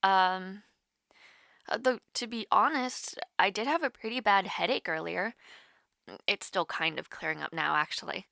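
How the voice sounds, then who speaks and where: Actress doing a nervous college girl on a casual call w her crush, bright voice, bit of fry, rambly n awkward. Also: mid-range voice, a little slow, no room sound, studio quality. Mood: flustered, chatty. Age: young adult. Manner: awkward, rambling, hesitant with many fillers. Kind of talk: naturalistic romantic audio roleplay, casual one-sided call.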